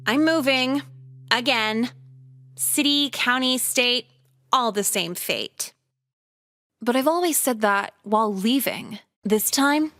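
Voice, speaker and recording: A jaded teenage schoolgirl speaks in a fairly high voice. She is sardonic, cynical and casually caustic, as in a visual novel game, with clear background music. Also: fairly bright tone, no echo, exaggerated voice. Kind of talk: visual novel game voice acting, monologue and dialogue.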